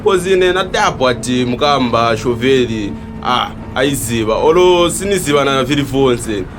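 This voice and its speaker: A man's voice: mid-range voice, expressive, moderate pace, over music, close to an everyday voice. A man with a Zambian accent acting as a cheeky, curious minibus conductor in an audio drama, talking in casual slang. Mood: curious, defensive. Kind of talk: acted audio drama dialogue in code-switched street talk. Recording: noisy street and vehicle background.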